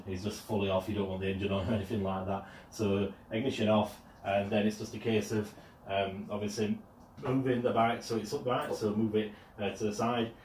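A man's voice: lowish voice, a little mellow, a little hiss or hum. A man casually demonstrating a product in his home garage, filming on his mobile phone and talking with frequent ums and uhs. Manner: hesitant, many ums and uhs. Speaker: product reviewer. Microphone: mobile phone camera. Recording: echoey garage.

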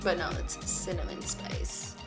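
A woman's voice: a little slow, faint music behind. A woman in her thirties chatting casually and teasingly while doing her makeup at a studio table. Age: 30s. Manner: giggly, upbeat, teasing. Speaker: makeup brand owner and host. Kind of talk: casual get-ready-with-me chat.

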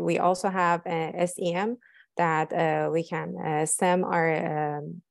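Young woman scientist giving a lab tour, lots of uhs, lowish gravelly voice, dry sound. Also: darkish tone, dry recording. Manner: hesitant, many fillers, technical. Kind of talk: walking lab tour explanation. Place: cleanroom fabrication lab, indoors.

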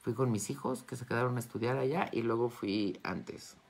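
A woman's voice: very deep voice, steady tone, moderate pace, a little mellow. A woman in her fifties with a Mexican accent, chatting casually and effusively with followers in a live session. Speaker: wellness and metaphysics teacher hosting a live Q&A. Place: indoors, home study with bookshelves. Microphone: phone or tablet at arm's length.

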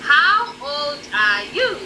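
A woman in her thirties teaching English online, modelling phrases slowly and praising her student, heard over a noisy video call. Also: high voice, sing-song, bright, forceful tone. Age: 30s. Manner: slow, encouraging, models phrases clearly for repetition.

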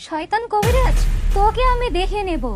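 A woman's voice: extremely high pitch, normal speed, music in the background. A woman voicing a sorrowful, resentful young sister in a fairytale cartoon. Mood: vengeful. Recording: loud ambient noise behind voice.

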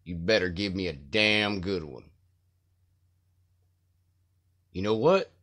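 A man playing a weary, sardonic prison guard who is grudgingly helpful, in video game dialogue. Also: on the low side. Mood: angry, sarcastic. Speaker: amateur voice actor auditioning.

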